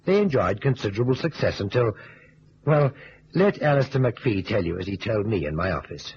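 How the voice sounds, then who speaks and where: An actor playing a composed detective who narrates and questions a client in a vintage radio drama, muffled with hiss. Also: male voice, lowish voice, big pitch swings, moderate pace, a little mellow. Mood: composed, storytelling.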